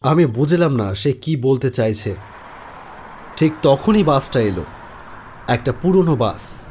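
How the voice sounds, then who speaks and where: A man's voice: mid-range voice, lively intonation, takes it slowly, a little mellow, slight background noise. A man narrates a scripted first-person horror story.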